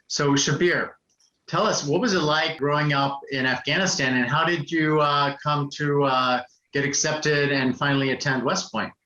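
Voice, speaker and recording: A man hosting an interview, asking questions in a relaxed, prompting way. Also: on the high side, moderate pace. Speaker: interview host. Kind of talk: casual interview. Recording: roomy, slightly dull sound.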